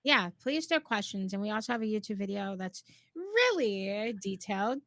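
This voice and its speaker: A woman's voice: mid-range voice, very animated, moderate pace, fairly bright tone, no room sound, clean studio sound. A woman co-hosting a live stream tutorial, upbeat and affirming as she walks viewers through each step, her voice a little worn. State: slightly losing her voice. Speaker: co-host, platform guide.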